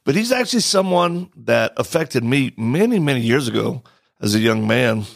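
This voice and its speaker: A man's voice: mid-range voice, very animated, normal speed, creaky, dry recording. A man hosting a podcast in a studio, chatting casually with a guest in a joking, blunt and direct way. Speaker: podcast host. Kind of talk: casual interview chat.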